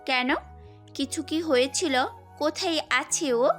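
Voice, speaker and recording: Young woman, acted, high-pitched, probing, insistent, audio drama, faint backing music. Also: slow pace, fairly bright tone. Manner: direct, questioning. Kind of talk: Bengali audio story acting.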